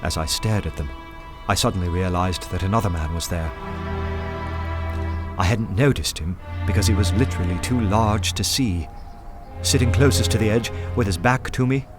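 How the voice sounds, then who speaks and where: An actor playing an undercover detective, narrating a radio play in a measured, literate, suspenseful manner. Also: male voice, fairly low pitch, close to an everyday voice. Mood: tense wonder. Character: undercover male detective narrating his tense adventure. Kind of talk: dramatic first-person narration over music. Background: music.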